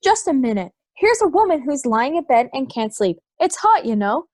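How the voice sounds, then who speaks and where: A woman's voice: sits high, big pitch swings, moderate pace, a little mellow. A woman playing a stubborn, hot-headed, prejudiced juror in an amateur audio drama. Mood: insistent.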